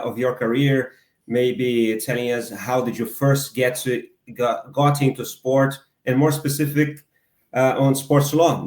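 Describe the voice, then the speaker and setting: A man hosting an interview, asking questions in halting, hesitant phrases full of fillers. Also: medium pitch, darkish tone. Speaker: podcast host. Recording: echoey room.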